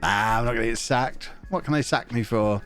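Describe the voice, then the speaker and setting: A man's voice: mid-range voice, a little fast, a bit bright, soft background music. Chatty man streaming, blocked nose. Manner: chatty, joking, reads chat messages aloud, hums to himself. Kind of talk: casual live-stream chat while playing a football management game.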